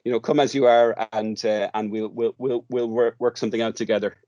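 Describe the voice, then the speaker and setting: A man's voice: medium pitch, little pitch movement, a little fast, dark tone, no room sound, lo-fi sound. An Irish man speaking as an interview guest in a conversational, hesitant way, often repeating words as he goes. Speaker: guest, radio drama workshop artist.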